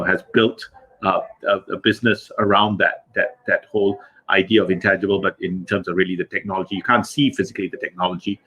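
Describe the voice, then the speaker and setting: Low husky-voiced male co-host. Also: bright, forceful tone, lo-fi sound. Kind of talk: introducing a guest.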